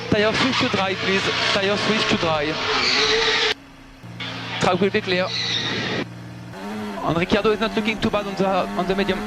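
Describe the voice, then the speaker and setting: A man's voice: sits high, steady tone, moderate pace, pauses often, very noisy. A male race engineer giving terse instructions over team radio, clipped and repeating key commands. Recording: motorsport radio with loud background sound.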